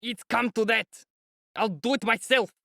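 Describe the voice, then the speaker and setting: A man's voice: extremely high pitch, unhurried, creaky, bright, forceful tone, no echo, studio quality, voice pushed up, full character voice. A man voicing a brutal, drunken male peasant who bellows in rage. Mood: raging. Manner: bellowing, raging.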